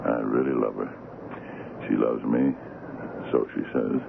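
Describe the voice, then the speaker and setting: An actor playing an easygoing, gruff sea captain of forty in a radio drama, folksy and prone to repeating himself. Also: male voice, rumbling low, steady tone, a little slow, full character voice. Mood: confiding, insistent. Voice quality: gravelly. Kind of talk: 1970s radio drama acting. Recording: noisy, narrow-band old radio broadcast.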